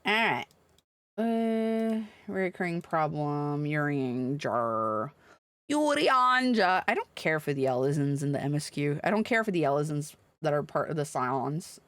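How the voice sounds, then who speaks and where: A woman's voice: low-pitched, sing-song, measured pace, a bit bright, dry recording. A woman livestreaming a video game, chatting casually and reading dialogue aloud in an irreverent, blunt, sweary manner.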